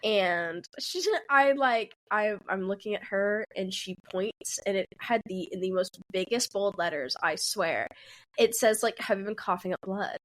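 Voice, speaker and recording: A woman's voice: mid-range voice, a little slow, low-quality recording. A woman in her twenties, a podcast co-host, telling a rambling story in casual chat and acting out what people said. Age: 20s. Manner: rambling, laughing, acts out quotes.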